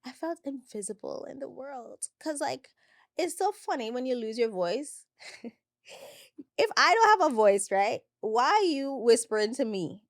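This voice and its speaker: A woman hosting her own podcast, chatting casually and animatedly about her work, still slightly hoarse. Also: fairly high pitch, a little slow, no room sound, clean studio sound. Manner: chatty and animated, laughing often. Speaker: podcast host, makeup artist. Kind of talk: casual solo podcast chat.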